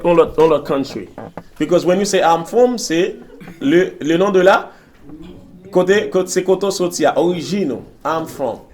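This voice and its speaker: A man from Haiti with a Haitian Creole accent, a native Creole speaker, teaching a language class and repeating phrases and questions to prompt the students. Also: high-pitched. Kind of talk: interactive classroom lesson.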